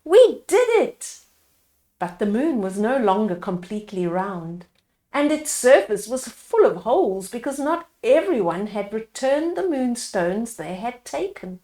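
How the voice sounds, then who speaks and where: Animated, slow-paced woman reading a children's story. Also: fairly high pitch, reverberant. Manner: animated, explaining to young listeners. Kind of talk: expressive bedtime storybook narration.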